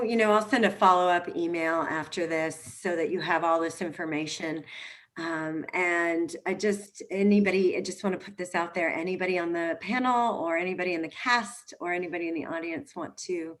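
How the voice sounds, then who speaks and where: A woman's voice: lowish voice, normal speed, a bit bright. A woman informally hosting and moderating a panel discussion on a video call. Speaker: event host and moderator.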